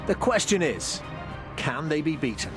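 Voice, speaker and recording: A man's voice: fairly high pitch, big pitch swings, unhurried, over music. A man giving a dramatic, emphatic scripted voice-over introduction to a quiz show. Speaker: show announcer.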